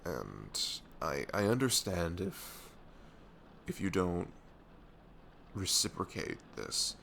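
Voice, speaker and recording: A man playing a devoted, goofy young best friend in an ASMR audio roleplay, earnest and nervously lovestruck, with a soft velvety voice. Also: low-pitched, big pitch swings, vocal fry, a little hiss or hum, full character voice. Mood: hesitant, pained. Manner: hesitant, halting, earnest.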